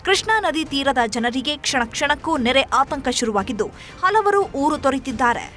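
A woman's voice: high-pitched, expressive, normal speed. A woman narrating scripted news in an emphatic, dramatic way. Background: music bed.

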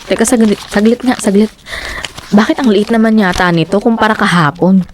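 A woman's voice: medium pitch, fairly quick, background noise, lightly acted. An actress playing a practical, determined fisherman's wife and mother of four, who argues her point insistently in a radio drama. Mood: worried.

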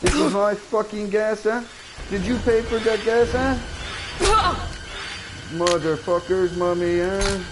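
A man's voice: sits high, slow pace, pauses often, heavy background noise. A man voicing a friendly male slasher in a mock French-Canadian accent, tagging every phrase with "huh?" or "eh?" during in-character game chat. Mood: indignant whining. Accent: mock French-Canadian, put on. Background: loud game music.

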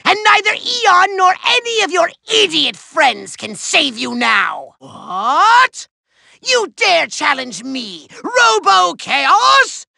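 A man voicing a short-tempered megalomaniac villain in a giant robot body for a video game, shrill, raspy and nasal, pompous, bombastic and gloating. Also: pushed and bright, no echo, studio quality, exaggerated voice. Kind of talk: theatrical cartoon villain acting.

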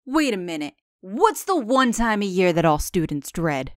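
A woman's voice: medium pitch, big pitch swings, normal speed, dry recording, studio quality, natural voice. A woman playing a composed, commanding teenage leader of a fifties gang in a comic dub. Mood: scheming. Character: cool, decisive teenage leader of a 1950s gang.

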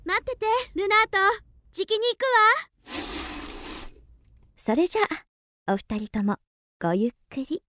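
A woman's voice: extremely high pitch, very animated, normal speed, pauses often, fairly bright tone, no echo, studio quality, heavily acted. A woman playing a friendly, girlish young hotel keeper's daughter in a radio drama, teasing and lovestruck. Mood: flustered, playful.

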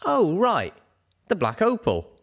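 A man's voice: sits high, huge pitch swings, takes it slowly, a bit bright, voice pushed up, exaggerated voice. A man voicing a huge old male dragon in a story reading, earnest and nostalgic, with a reflective, emphatic manner. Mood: polite.

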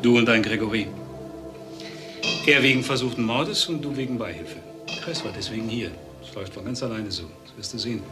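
An actor playing a cold, domineering doctor, curt and menacing, in a German crime radio drama. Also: male voice, medium pitch, a little fast, over music, natural voice. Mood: cold menace. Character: cold, domineering male doctor, threatening husband.

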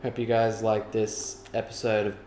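A man's voice: fairly low pitch, flat delivery, normal speed, a crowd in the background. A young man giving casual, teasing commentary while playing a video game. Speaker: gaming channel host. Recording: roomy, noisy room mic over game audio.

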